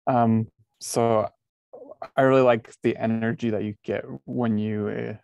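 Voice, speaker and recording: A low, flat-voiced man answers on a video call. He speaks earnestly with some hesitations, and the sound is dry and close. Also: measured pace, vocal fry, clear, resonant tone, no room sound. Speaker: instructional technology specialist.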